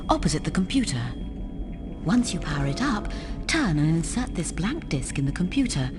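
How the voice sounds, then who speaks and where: A composed female spy in a video game, her voice on the low side and lively in its movement. She gives helpful, instructive briefings with cool control, over a clear music bed. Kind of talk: scripted video game dialogue.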